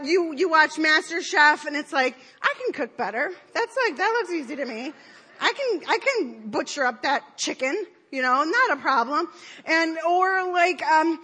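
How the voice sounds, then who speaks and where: Woman, 40s, high pitch, conversational sermon, self-deprecating, playful. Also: pushed and bright. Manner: chatty and self-deprecating, with playful put-on quotes. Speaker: preacher.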